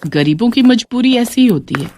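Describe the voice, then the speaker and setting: A woman's voice: a little high, lively intonation, normal speed, darkish tone, slight background noise. A woman reading a scripted moral story as its narrator.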